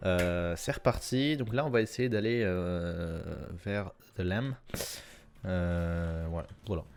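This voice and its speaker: A man giving relaxed, rambling gameplay commentary with lots of fillers and repeated phrases. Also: low voice, slow pace, smooth. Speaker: gaming YouTuber. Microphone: desk mic at a gaming setup.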